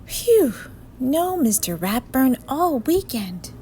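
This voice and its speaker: A woman voicing an anxious, excitable young schoolboy from a storybook, exclaiming in a flustered, dramatic way. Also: high voice, slow, slight background noise, voice pushed up, exaggerated voice. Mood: relieved.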